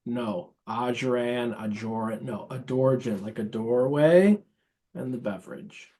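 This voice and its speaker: A man's voice: medium pitch, measured pace, warm tone. An American man in his thirties, a priest, talking casually as an interview guest. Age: 30s.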